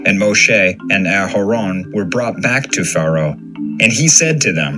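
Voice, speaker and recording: A man with a lowish voice narrates scripture for an audio dramatization. Music plays clearly behind his scripted reading. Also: expressive, a little slow.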